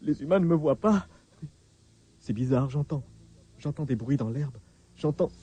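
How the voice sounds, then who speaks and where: High-pitched frightened, desperate fugitive. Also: male voice, expressive, a little slow, dark tone, breathy edge. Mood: fearful, baffled. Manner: pleading, stammering, frantic repetition. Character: frightened, desperate hunted man stranded on a night road. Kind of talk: French radio drama acting.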